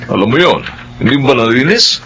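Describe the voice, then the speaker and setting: Wealthy father, very animated pitch. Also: a little fast, slight background noise. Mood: questioning. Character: wealthy father and employer, attached to his car. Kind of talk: Filipino melodrama dialogue.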